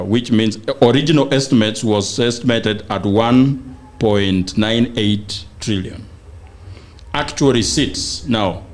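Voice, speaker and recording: A man with a Kenyan accent, a panel guest explaining details haltingly as he searches for words. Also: lowish voice. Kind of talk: explaining figures in a political discussion.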